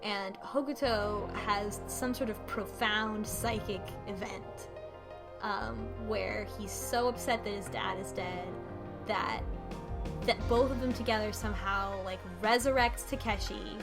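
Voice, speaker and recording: A woman co-hosting a casual chat, retelling a story informally and haltingly, acting out bits of dialogue. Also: medium pitch, steady tone, creaky. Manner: informal, halting retelling with quoted dialogue in her own words. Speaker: podcast co-host. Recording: music playing behind the voice. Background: music.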